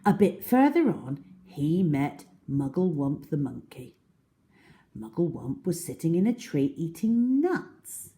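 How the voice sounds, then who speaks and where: A woman reading a children's story aloud with dramatic, deliberate, expressive narration. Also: low-pitched.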